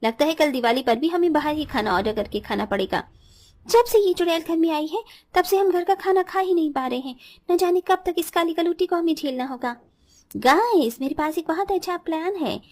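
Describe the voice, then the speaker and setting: A woman's voice: very high-pitched, expressive, brisk, darkish tone. A woman playing haughty, scheming young college-girl sisters-in-law in a cartoon story, with a scornful, bossy manner. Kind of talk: Hindi cartoon story dialogue.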